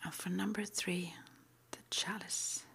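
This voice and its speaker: A woman whispering in a breathy, mostly unvoiced ASMR style, reading out short affirmations slowly and deliberately with pauses. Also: lowish voice, fairly even intonation, a bit bright. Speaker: ASMR roleplay host.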